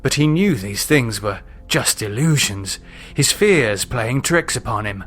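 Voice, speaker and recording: A man narrating a horror novel he wrote, in a measured, suspenseful, dramatic storytelling manner. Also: mid-range voice, animated, a bit bright. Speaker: author reading own novel.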